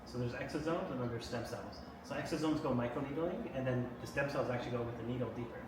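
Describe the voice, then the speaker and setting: Fast-talking doctor in an echoey room. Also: male voice, medium pitch. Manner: fast, explains with repeated "right?" tags. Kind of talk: casual explanatory chat. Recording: echoey room, far from mic, noisy.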